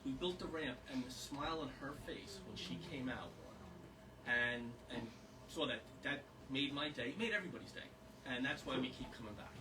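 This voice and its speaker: A man's voice: medium pitch, moderate pace. Earnest man talking to a small audience. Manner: earnest, rambling with fillers. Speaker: volunteer speaker. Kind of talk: informal talk to an audience. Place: small historic house meeting room. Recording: distant mic with background noise.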